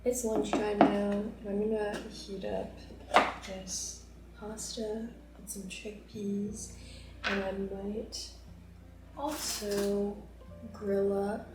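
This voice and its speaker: A woman's voice: mid-range voice, little pitch movement, extremely slow, loud music behind the voice. A young woman vlogging casually while she cooks, chatty and rambling, with plenty of fillers. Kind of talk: casual chat while cooking. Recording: roomy kitchen, mic at a distance.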